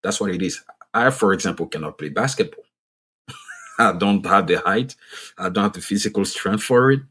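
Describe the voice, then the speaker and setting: A man's voice: on the low side, lively intonation, moderate pace, a bit bright, slightly rough. A man from Ivory Coast with a French-speaking Ivorian accent, French his first language, speaking as a podcast guest in an earnest way that restarts phrases for emphasis. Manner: earnest, repeats and restarts phrases to stress a point. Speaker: guest economist and author. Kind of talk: podcast interview.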